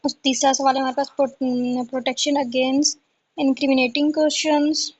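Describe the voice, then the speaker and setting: High-pitched, flat-toned woman tutor. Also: slow, muffled recording. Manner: repetitive, asks herself questions before answering. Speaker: law exam tutor. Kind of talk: informal exam-prep lesson, reading out a list.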